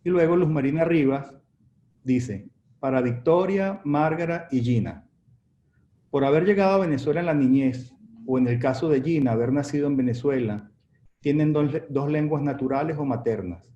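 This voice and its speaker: A man's voice: a little high, moderate pace, dark tone. Hesitant man moderating a video call. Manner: hesitant, many restarts. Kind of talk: moderating an online panel, reading audience questions. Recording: dull echoey call audio with faint noise.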